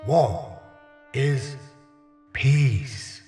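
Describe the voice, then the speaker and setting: An actor playing a commanding totalitarian leader in an audio drama, declaiming grandly to the masses. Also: male voice, mid-range voice, extremely slow. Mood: grandiose, commanding. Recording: long hall reverb, loud music and crowd.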